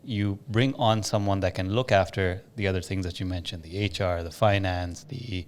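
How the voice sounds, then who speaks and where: Lowish-voiced male podcast host. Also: steady tone, normal speed. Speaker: podcast host, business owner. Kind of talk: casual conversational interviewing.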